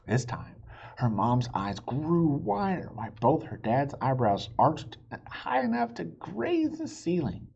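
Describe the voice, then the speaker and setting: A man reading a children's story aloud in an expressive, theatrical way, with chatty asides to young listeners. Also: a little high, slow pace, vocal fry, slightly rough, muffled recording. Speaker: children's book reader.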